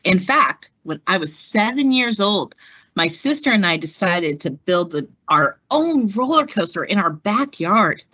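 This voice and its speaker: A woman reading as a plucky, fearless female aviator telling her life story in deliberate, emphatic storybook style. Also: medium pitch, big pitch swings, pushed and bright, breathy edge, no echo, highs cut off, exaggerated voice. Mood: proudly defiant.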